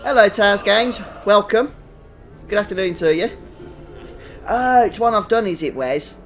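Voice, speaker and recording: High-voiced British male game streamer. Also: measured pace, a bit bright. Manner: chatty, greets viewers individually. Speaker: game livestream host. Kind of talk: casual live gameplay commentary.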